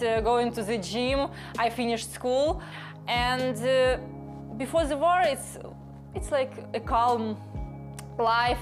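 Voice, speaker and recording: An eighteen-year-old woman from Ukraine with a Ukrainian accent, speaking hesitant English with many fillers as she tells her story in an interview. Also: on the high side. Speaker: football referee. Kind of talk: interview testimony. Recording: music underneath.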